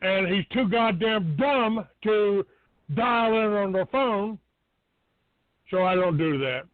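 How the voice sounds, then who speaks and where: A man's voice: lively intonation, slow, dry recording. A man hosting a live internet radio call-in show, blunt, combative and dismissive with his callers. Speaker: talk show host taking calls. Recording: narrow phone-like low-fidelity internet radio sound.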